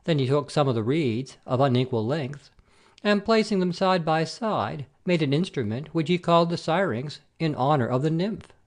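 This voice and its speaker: A man playing a wily messenger god posing as a simple shepherd, with an expressive storyteller's delivery in an amateur audio play. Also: on the high side, moderate pace, close to an everyday voice. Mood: lyrical storytelling.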